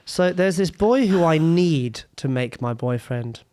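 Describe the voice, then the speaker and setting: A young British man hosting a casual podcast, cheeky and sweary, his voice going hoarse. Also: a little high, expressive, measured pace, a little mellow. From Britain. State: losing his voice. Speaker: podcast host.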